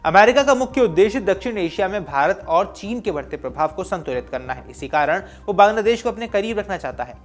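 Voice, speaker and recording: A man's voice: sits high, expressive, quick pace, few pauses. A man presenting scripted news in an emphatic, explanatory way. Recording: voice over music bed. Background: background music.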